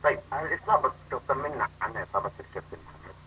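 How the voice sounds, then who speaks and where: A man hosting a live chat-room interview, asking questions eagerly and quickly. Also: mid-range voice, animated, sharp tone. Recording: dull lo-fi audio with faint noise.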